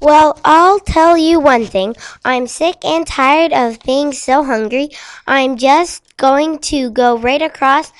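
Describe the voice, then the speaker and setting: A woman playing a fearless, hungry young male billy goat in a storybook tale, with a simple, eager, slightly halting delivery. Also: high voice, no room sound, poor audio. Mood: defiant, hungry.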